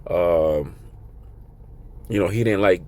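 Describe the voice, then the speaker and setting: A man with a raspy, hoarse voice giving an informal, rambling video sermon as a religious teacher. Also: low-pitched, slow pace, mellow. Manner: rambling, filler-heavy, conversational.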